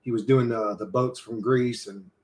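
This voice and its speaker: A man's voice: mid-range voice, fairly even intonation, normal speed, darkish tone. A man streaming live, chatting casually with his viewers, swearing freely and calling everyone bro. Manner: loose, profane, says "bro" a lot; rambling, reads and answers chat.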